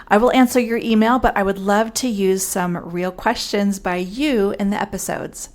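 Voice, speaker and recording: Female podcast host doing scripted intro, talking right to listeners, normal pitch. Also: steady tone, normal speed.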